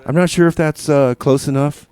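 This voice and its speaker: A man hosting a radio show with casual, rambling DJ patter in a halting, tongue-in-cheek, mock-official manner. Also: on the high side, fairly even intonation, a little mellow, smooth.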